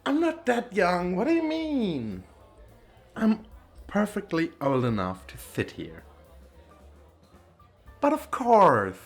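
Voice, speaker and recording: A man's voice: high voice, huge pitch swings, takes it slowly, long pauses, soft background music, pitched above the performer's own voice, full character voice. Man playing a theatrical, swooping vain male model. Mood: cocky, teasing. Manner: theatrical, teasing, self-absorbed. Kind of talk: ASMR roleplay.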